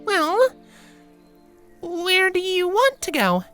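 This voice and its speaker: A man voicing a young female alicorn pony in a story reading, gentle, hesitant and a little embarrassed. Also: very high-pitched, very animated, moderate pace, faint music behind, voice pushed up, full character voice. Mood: uneasy.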